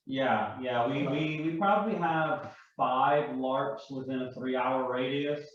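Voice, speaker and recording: A man in an unscripted panel discussion, explaining his view in a halting, reflective way. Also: medium pitch. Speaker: panel guest and larper. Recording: echoey, distant, dull microphone.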